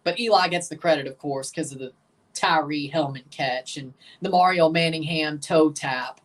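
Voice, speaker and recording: A young man with a low voice hosting a livestream, giving blunt, opinionated hot takes while reacting to a soccer match and answering chat questions. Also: normal speed, far from the mic. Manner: excitable, jumps high in pitch when agitated.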